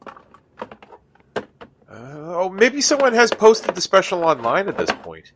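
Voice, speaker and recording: Man acting wry buddy, slow, swooping delivery. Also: high voice, wildly swooping pitch, a bit bright, a little hiss or hum, exaggerated voice. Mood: helpful. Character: skeptical, reluctant male buddy, wry straight man. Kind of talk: comedic radio play acting.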